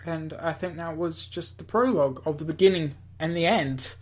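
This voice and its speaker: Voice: medium pitch, normal speed, over loud music. Rambling, stumbling gamer commentator. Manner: rambling, self-joking, stumbles and repeats words. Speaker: let's play host reading a visual novel aloud. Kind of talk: gaming commentary with read-aloud dialogue.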